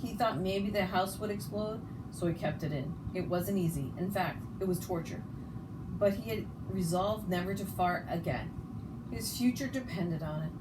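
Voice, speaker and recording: A woman's voice: fairly low pitch, normal speed, recorded from a distance. A woman reading a children's story aloud expressively, sometimes stumbling and rereading words. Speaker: storybook reader. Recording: noticeable background noise.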